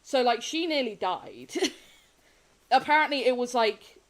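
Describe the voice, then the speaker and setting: Woman, sniffly, casual gaming-stream chat, rambling, soft laughs. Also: on the high side, lively intonation, unhurried.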